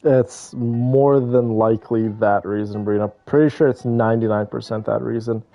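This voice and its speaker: A man's voice: medium pitch, fairly even intonation, moderate pace, creaky. Casual male gamer, slightly dull audio. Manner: informal, opinionated, conversational. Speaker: game streamer. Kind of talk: casual reaction commentary over gameplay. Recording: slightly dull audio, game music behind.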